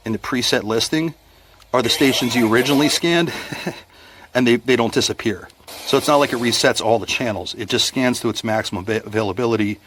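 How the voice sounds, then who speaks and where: A man giving a casual, unscripted product review in a plainspoken, measured, explanatory way. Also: medium pitch, fairly bright tone, slight background noise.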